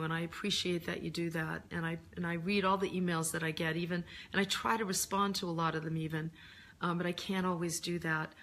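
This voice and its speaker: A middle-aged woman talks through a casual live chat with her readers, her voice hoarse and lower than usual as she recovers from laryngitis. She answers questions gently and thoughtfully, pausing often with soft ums. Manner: gentle and reflective, many ums. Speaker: author hosting a live reader Q&A.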